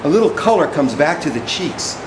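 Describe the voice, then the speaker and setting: A man playing a servile, wordy orator in a stage drama, reciting poetic text in a halting, deliberate, declamatory way. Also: a little high, big pitch swings, background noise. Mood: solemn, descriptive. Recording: echoey room with music behind.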